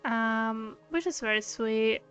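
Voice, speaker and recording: A woman's voice: fairly high pitch, slow, a bit bright, lo-fi sound. A woman streamer chatting casually and affectionately while she plays a game. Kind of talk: casual livestream chat. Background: game music.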